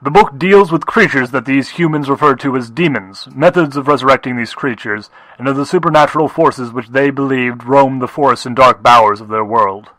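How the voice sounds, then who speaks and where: A man's voice: medium pitch, a bit bright, no echo. Man acting a muffled, upper-class older pony stallion scholar. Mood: dry, grave. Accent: slight upper-class. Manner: formal, measured, pedantic. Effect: muffled old record playback. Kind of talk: recorded research notes in an audio drama.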